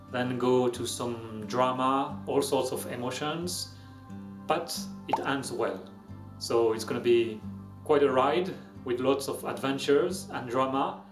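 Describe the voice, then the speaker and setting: Male host, French accent, dry self-mocking wit, mid-pitched, roomy sound with faint music behind. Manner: self-deprecating, wry humor. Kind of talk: casual explanatory vlog narration.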